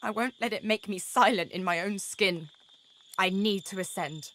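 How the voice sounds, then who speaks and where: Actress as resolute, embattled fantasy heroine. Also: female voice, medium pitch, fairly bright tone, a little hiss or hum, natural voice. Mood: determined. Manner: earnest, resolute, halting short phrases. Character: determined, embattled young woman fighting inner demon. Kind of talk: dramatic video game character acting.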